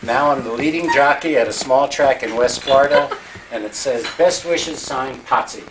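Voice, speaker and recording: A man's voice: mid-range voice, moderate pace. A man reading out joke birthday messages to guests at a home party. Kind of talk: comic reading aloud at a party. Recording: noisy amateur home video.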